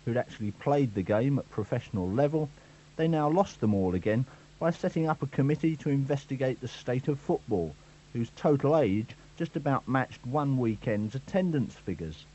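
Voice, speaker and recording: Wry male sports reporter, mellow tone. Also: mid-range voice, expressive, moderate pace. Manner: dry, wry. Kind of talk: scripted narration. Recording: dull lo-fi radio recording.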